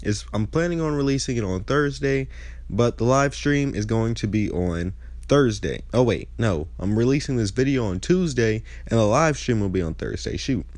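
A man's voice: mid-range voice, lively intonation, moderate pace, darkish tone, no echo. A young man hosting a gaming channel, chatting casually with a nasal, stuffed-up voice and often losing his train of thought. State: congested. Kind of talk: casual chat over gameplay.

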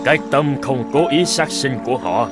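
An actor playing a calm old hermit in a cartoon folk tale, speaking in a measured, lecturing way. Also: male voice, medium pitch, over music, close to an everyday voice. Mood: serene, explaining. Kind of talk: animated folk tale voice acting.

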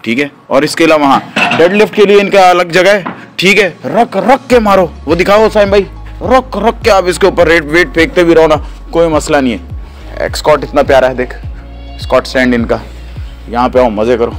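A man's voice: on the high side, normal speed, faint music behind. A man, chatting enthusiastically while touring a gym at night and asking questions. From Pakistan. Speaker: vlogger reviewing a gym. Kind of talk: casual walking vlog tour. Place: outdoor and indoor gym at night. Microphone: handheld phone mic.

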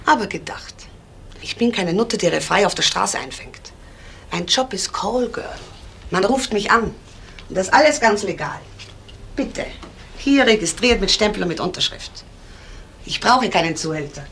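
A woman's voice: mid-range voice, animated, normal speed, gravelly, long pauses, fairly bright tone, slight background noise. A woman playing a brusque, defiant call girl in a crime radio play, speaking curtly. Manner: curt, blunt, defiant.

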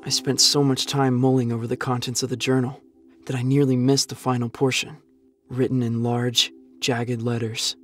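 A curious young survivor acted by a man tells his story in a medium-pitched voice. He sounds earnest and anxious, in dramatic audio drama style, with music playing behind. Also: level tone, normal speed, fairly bright tone, close to an everyday voice. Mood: tense narration. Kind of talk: dramatic first-person audio drama narration and dialogue.

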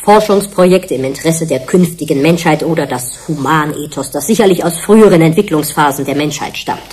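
An actress playing a reflective, ethically concerned scientist in a German radio drama, formal and articulate. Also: female voice, low voice, a little fast, a little mellow, natural voice. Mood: grave.

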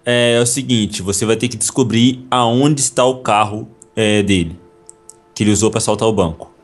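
A man playing a weary, patient but reproving policeman, speaking haltingly and repeating himself in an amateur acted drama. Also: lowish voice, natural voice. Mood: businesslike.

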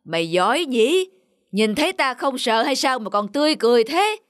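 A man's voice: extremely high pitch, sing-song, moderate pace, fairly bright tone, full character voice. A man voicing a big, menacing, suspicious tiger in an animal fable cartoon.

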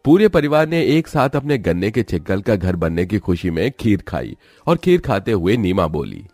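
A man's voice: on the low side, very animated, moderate pace, darkish tone, no echo, clean studio sound, soft background music. A man narrating a scripted moral story as a storyteller.

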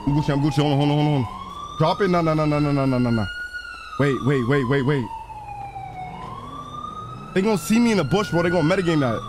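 A man streaming a role-play game, chattering in character in excitable slang and repeating his phrases. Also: fairly high pitch, quick pace, long pauses. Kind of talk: in-character live gameplay chatter. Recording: sirens and vehicle noise behind voice. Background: game sirens and car sounds.

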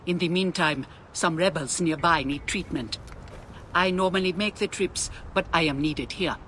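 Solemn healer with a bright voice. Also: male voice, fairly high pitch, normal speed, a little hiss or hum. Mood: solemn, concerned. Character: solemn, concerned male healer and devout ally. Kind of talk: dramatic game cutscene dialogue.

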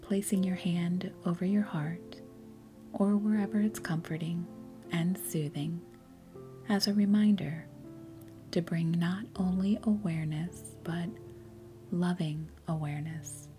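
A woman leading a guided meditation in a soft, breathy, gentle and soothing way, with unhurried pauses. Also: lowish voice, fairly even intonation. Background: faint music.

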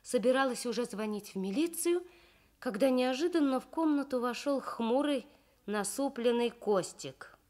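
Woman telling a kids story like old 1960s soviet radio, expressive, slightly high voice. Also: normal speed.

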